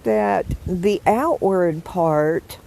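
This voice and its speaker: An older woman with a hoarse, froggy morning voice, chatting gently and affectionately with live viewers from the woods. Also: lowish voice, very slow, noisy background. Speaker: vlogger greeting live viewers. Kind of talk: casual live chat. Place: outdoors in the woods.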